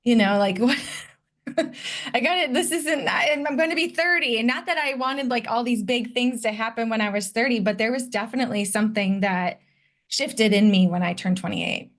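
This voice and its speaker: Woman in her thirties, from Detroit, podcast guest, candid, reflective, higher-pitched voice. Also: moderate pace. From Detroit, United States. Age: 30s. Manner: candid and reflective, personal storytelling. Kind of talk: conversational interview.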